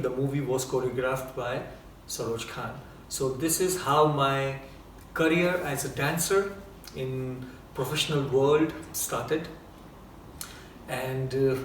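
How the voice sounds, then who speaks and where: A middle-aged Indian man with an Indian accent, a dancer and choreographer telling his story in an unhurried way with frequent fillers. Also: mid-range voice. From India (Bombay). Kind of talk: relaxed personal storytelling. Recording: roomy, distant mic with faint noise.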